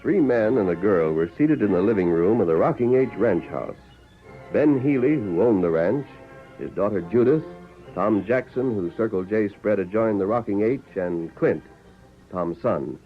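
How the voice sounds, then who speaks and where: A man's voice: on the low side, animated, normal speed, faint music behind. A man with an American accent giving grand, declamatory scripted narration as a 1940s radio announcer. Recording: muffled vintage 1940s radio recording.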